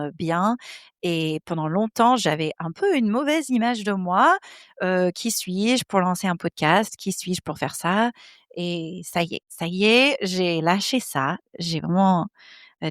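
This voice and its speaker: A woman's voice: medium pitch, dry recording, clean studio sound. American woman hosting her podcast, hesitant. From United States, Midwest. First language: English. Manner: hesitant, searching for words, many fillers. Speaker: podcast host. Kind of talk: personal monologue. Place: home office.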